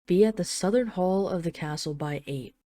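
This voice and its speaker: A woman's voice: fairly low pitch, moderate pace, dark tone, no room sound, clean studio sound, natural voice. A woman playing a possessive dragon queen in an intimate roleplay audio drama, teasing, condescending and commanding. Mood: stern, possessive.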